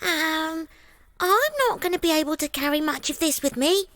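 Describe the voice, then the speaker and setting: A woman's voice: normal speed, fairly bright tone, dry recording. A woman voicing a cheeky, mischievous young male monkey in a childlike storybook voice, full of self-justification. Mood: musing. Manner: squeaky, childlike, hesitant boasting. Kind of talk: storybook character voice.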